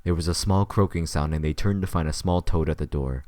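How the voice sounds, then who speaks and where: Casting: male narrator, low register, close dry recording, scripted storytelling. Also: brisk, a little mellow, dry recording.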